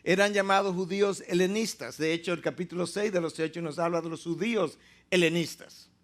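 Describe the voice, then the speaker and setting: High-voiced male preacher teaching. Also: fairly even intonation, a bit bright. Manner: teaching, emphatic, slows down to stress key words. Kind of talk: sermon.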